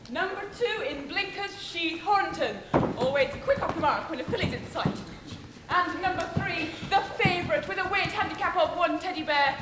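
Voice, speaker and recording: A woman playing a busy mother who talks like a horse-race commentator, brisk and theatrical, in a stage pantomime. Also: extremely high pitch. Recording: echoey, noisy stage recording.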